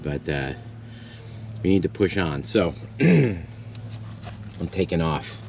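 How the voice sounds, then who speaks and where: A man's voice: deep voice, very animated, slow, creaky, slight background noise. A young man with a sore throat, losing his voice, talking casually to his own camera in a travel vlog, thinking aloud with lots of fillers. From Texas. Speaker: vlogger. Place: pickup truck cab while driving. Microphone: phone or action camera, self-filmed. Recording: loud music over the voice. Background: music bed.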